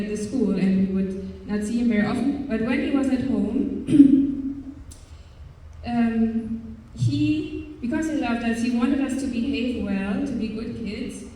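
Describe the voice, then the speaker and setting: A woman's voice: a little high, dark tone. A woman with a German accent, a religious sister giving an earnest, hesitant faith testimony to young people at a live event. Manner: earnest, hesitant, with frequent pauses and fillers. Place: large hall at a youth event. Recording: echoey hall, voice from far off.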